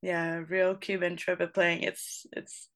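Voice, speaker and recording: A woman's voice: lowish voice, little pitch movement, unhurried. A woman trumpet player answering interview questions, searching for words and often restarting her sentences.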